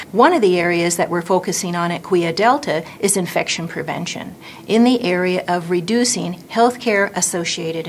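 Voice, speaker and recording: Creaky-voiced American woman in her fifties. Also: medium pitch, a little hiss or hum. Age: 50s. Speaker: nurse manager in infection prevention. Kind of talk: interview explanation for a hospital newsreel. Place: indoor office or meeting room.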